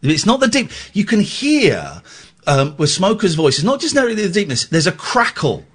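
A man's voice: high voice, wildly swooping pitch, normal speed, slightly rough. A man hosting a radio phone-in from a studio. He talks bluntly, teases his callers and interrupts them. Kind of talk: late-night phone-in chat.

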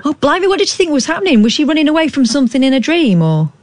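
A woman's voice: high voice, sing-song, racing, a little mellow, no echo. A middle-aged British woman chatting casually as a radio phone-in host, inquisitive and sympathetic with her callers. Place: radio studio.

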